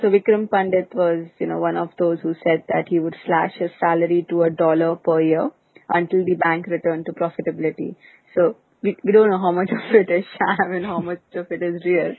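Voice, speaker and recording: A woman's voice: fairly low pitch, flat delivery, a little fast, no room sound. Telephone-like narrow audio, dry sound, woman, podcast co-host, conversational business commentary.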